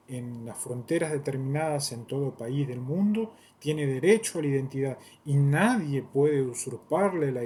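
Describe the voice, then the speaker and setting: A man's voice: mid-range voice, darkish tone, breathy edge. A man giving a long, rambling monologue in a solemn, declaiming way, repeating phrases for emphasis. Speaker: self-styled theologian.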